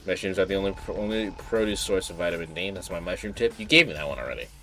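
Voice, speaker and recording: A man's voice: sits low, quick pace, sharp tone. A man doing casual live stream commentary while reading game text aloud, with offhand asides. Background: game music.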